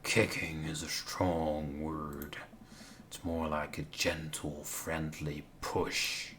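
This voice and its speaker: A man voicing a gruff, laconic, aloof male lover in a visual novel, slow and muttered. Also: extremely low pitch, level tone, fairly bright tone, a little hiss or hum, pitched below the performer's own voice, exaggerated voice. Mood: dry, aloof.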